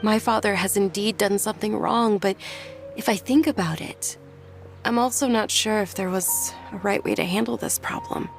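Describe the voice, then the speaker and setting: A woman voicing a dazed young adult daughter in a video game, speaking softly and hesitantly. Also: medium pitch, normal speed, creaky, soft background music, natural voice. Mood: reflective. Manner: soft, hesitant, reflective.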